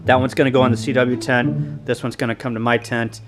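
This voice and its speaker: A man doing a casual show-and-tell to camera in a halting, list-like delivery. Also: medium pitch, level tone. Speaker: vlogger.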